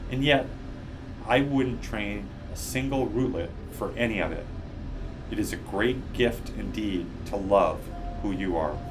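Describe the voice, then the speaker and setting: A man plays a wise old tree from a children's storybook. The low voice takes its time, gently playful and philosophical, over clearly audible music. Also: rough recording. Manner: measured, gently joking, reflective. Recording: music behind the voice.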